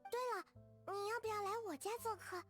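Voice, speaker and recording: Casting: shy, grateful young girl for a video game, extremely high voice, courteous and soft-hearted. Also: quick pace, soft background music. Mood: flustered. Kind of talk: video game character voice acting.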